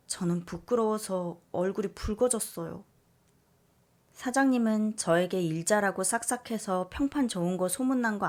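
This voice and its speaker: Expressive woman reading a story aloud. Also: medium pitch. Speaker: story narrator. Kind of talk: first-person read-aloud story narration.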